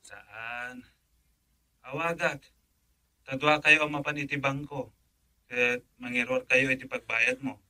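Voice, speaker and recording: A fond, teasing uncle with a very sharp, forceful and slightly high voice. He speaks with an Ilocano Filipino accent in radio drama style. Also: expressive, slow, creaky, long pauses, exaggerated voice. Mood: generous, directive. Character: fond, teasing uncle giving his niece advice.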